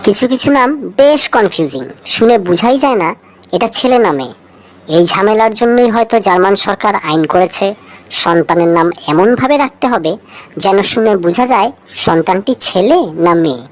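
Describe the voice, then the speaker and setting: A woman's voice: medium pitch, expressive, moderate pace. A woman reading a scripted, light trivia feature, addressing the listener directly and posing rhetorical questions. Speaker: co-narrator of a feature video.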